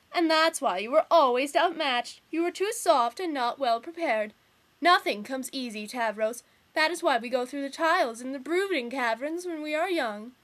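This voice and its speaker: A woman performs a high-pitched young troll girl in fandub style. She is bossy and theatrical, mocking whoever she talks to with impatient, sarcastic bite. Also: fairly bright tone. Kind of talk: amateur fandub audition acting.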